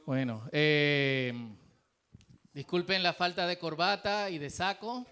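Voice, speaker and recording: A man's voice: on the high side, slow, sharp tone, clear, resonant tone. A man with a Dominican accent preaching a sermon in a church, in a conversational, humble way with frequent fillers. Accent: Dominican Spanish. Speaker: pastor.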